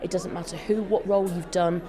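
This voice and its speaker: A woman's voice: on the low side, fairly even intonation, fairly quick. A woman giving an effusive, emphatic interview as a company executive. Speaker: interview guest, joint chief executive of a theatre company. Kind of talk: enthusiastic interview talk. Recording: busy crowd chatter behind voice. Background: event crowd.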